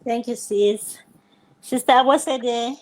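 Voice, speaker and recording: A woman's voice: a little high, takes it slowly, low-quality recording. An older woman, a grandmother, hosting a live online session and greeting viewers and panelists in a welcoming way. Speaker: host.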